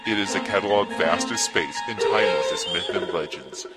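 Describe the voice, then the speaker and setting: A man reading a solemn, dramatic scripted show intro in a slow, weighty delivery. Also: low voice. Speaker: show announcer. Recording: loud music under the voice. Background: music.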